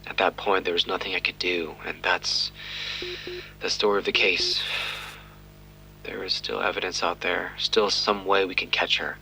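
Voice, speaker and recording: An actor playing a troubled, defensive police detective in an audio drama, terse, intense and weary. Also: male voice, deep voice, level tone, moderate pace, creaky, pauses often, bright, forceful tone, soft background music, heavily acted, poor audio. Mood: defeated. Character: troubled, defensive male police detective haunted by a failed case.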